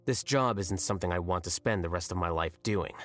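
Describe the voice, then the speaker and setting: An actor playing an aloof young male scholar who talks in a calm, deadpan, cutting way. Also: low-pitched, a little fast. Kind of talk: video game dialogue.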